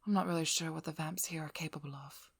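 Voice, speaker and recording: A woman playing a devious, vigilant vampire hunter in a scripted ASMR roleplay, teasing and controlling, with a breathy voice that drops into whispers. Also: sits low, steady tone, fast, dry recording, full character voice. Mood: cool, probing. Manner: teasing, playful, coolly controlling. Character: devious, vigilant female vampire hunter, flirty then businesslike. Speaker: audio roleplay performer.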